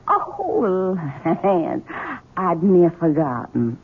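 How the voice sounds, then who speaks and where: An actress playing an imperious, scheming young Southern wife in a radio drama, haughty and taunting. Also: female voice, on the low side, huge pitch swings, very slow, breathy edge, full character voice. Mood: mocking, defiant. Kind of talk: 1970s radio drama acting. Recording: muffled phone-like old radio recording.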